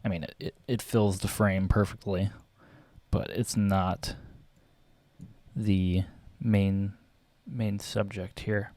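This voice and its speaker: Young male vlogger, low voice, slightly raspy, halting casual ramble, dry close desk mic. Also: little pitch movement, darkish tone, no room sound. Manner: hesitant, many fillers and restarts, clears throat often. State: voice getting raspy. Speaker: photographer and vlogger. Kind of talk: casual rambling commentary while photo editing.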